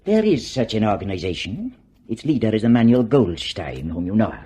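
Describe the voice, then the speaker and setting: An actor playing a grave, coolly authoritative male conspirator in a 1950s radio drama, speaking in a measured, solemn manner. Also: fairly low pitch, very animated, warm tone, breathy edge. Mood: stern. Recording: muffled remastered 1950s radio recording.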